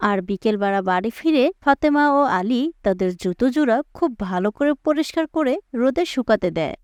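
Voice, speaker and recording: A woman's voice: on the high side, expressive, fairly quick, smooth, no room sound. A woman reading scripted story narration for a cartoon.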